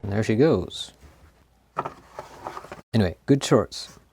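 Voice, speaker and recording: A man casually talking through a tape machine repair, laughing often and pausing with lots of ums. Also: lowish voice, expressive, vocal fry, mellow, slight background noise. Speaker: host of an electronics repair channel. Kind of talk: casual hands-on commentary.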